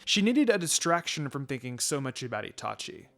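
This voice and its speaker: A man's voice: mid-range voice, big pitch swings, brisk, a bit bright. A man narrating a fan fiction story, reading scripted prose aloud. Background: music.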